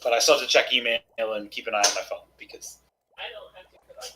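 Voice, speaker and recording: A chatty man gaming online with friends, his voice a little hoarse and prone to throat-clearing. He rambles easily at a middling pitch, with faint noise under his home mic. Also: fast. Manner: rambling, chatty, self-deprecating. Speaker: gamer. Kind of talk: casual chat while playing.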